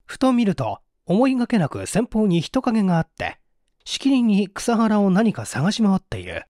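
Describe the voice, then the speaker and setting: A man narrating a detective story as an audiobook, with theatrical, deliberate phrasing. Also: sits high, wildly swooping pitch, mellow, no echo, studio quality. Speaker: audiobook narrator, stage actor.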